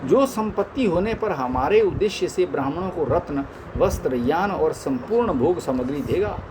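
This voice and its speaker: A man reading a holy text aloud in measured recitation, now and then stumbling and adding chatty asides. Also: a little high, expressive. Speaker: scripture reader. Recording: street noise behind the voice.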